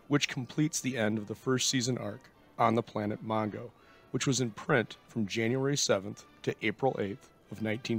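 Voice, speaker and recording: A man's voice: mid-range voice, a bit bright. A man giving a formal, scripted introduction as the host of a show. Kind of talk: scripted narration.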